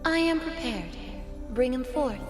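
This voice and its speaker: Young alien empress, game cutscene. Extremely high, swooping voice, regal and composed, formal. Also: female voice, expressive, unhurried. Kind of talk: scripted dramatic game cutscene dialogue. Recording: dialogue over loud music.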